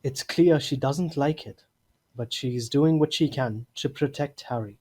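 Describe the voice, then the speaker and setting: A man's voice: medium pitch, measured pace, darkish tone. A man reading scripted narration for an audio drama.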